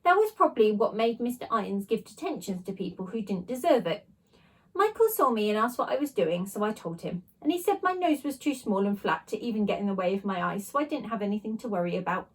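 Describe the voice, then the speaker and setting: A woman teacher reading a children's storybook aloud with expressive narration. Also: medium pitch, fast, slightly rough, poor audio.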